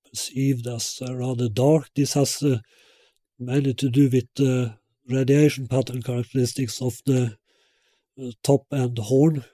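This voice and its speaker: A man presenting a technical product explanation as a loudspeaker designer, in a halting, hesitant way. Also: medium pitch, no echo.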